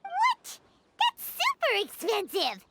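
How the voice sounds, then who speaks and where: A woman voicing a tiny, excitable fairy girl companion in a video game, with a squeaky, childlike voice. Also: slow, gravelly, very noisy, heavily acted. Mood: shocked, wary. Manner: speaks of self in third person, exclamatory. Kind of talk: video game character acting.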